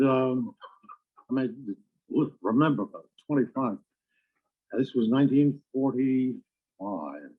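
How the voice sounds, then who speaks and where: Older man, veteran actor telling anecdotes, unhurried, halting pauses, narrow phone-like recording. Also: mid-range voice. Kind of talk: reminiscing, anecdotal talk.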